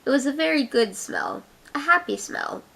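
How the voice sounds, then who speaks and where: A woman's voice: high voice, sing-song, moderate pace, gravelly, fairly bright tone. A young woman from Canada with an Ottawa accent, chatting through an accent challenge and reading her own fiction aloud in a giggly way. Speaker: vlogger.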